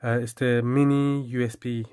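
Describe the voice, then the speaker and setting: Man giving a halting, casual product review. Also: mid-range voice, flat delivery, a little mellow, clean tone, no echo, clean studio sound.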